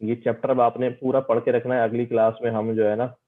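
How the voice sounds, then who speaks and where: A man's voice: mid-range voice, little pitch movement, brisk. Male teacher, phone-like sound. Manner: explanatory, repeats words and confirmations. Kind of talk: online lecture with student questions. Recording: muffled phone-like radio-quality audio.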